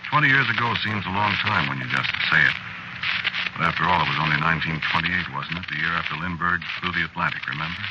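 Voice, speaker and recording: An actor playing a wistful amnesiac locksmith in a 1940s radio drama monologue, confiding and halting in his delivery. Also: rumbling low. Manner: halting, confiding, wistful first-person storytelling. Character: melancholy amnesiac man, a locksmith haunted by lost past. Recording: muffled, very noisy 1940s radio recording.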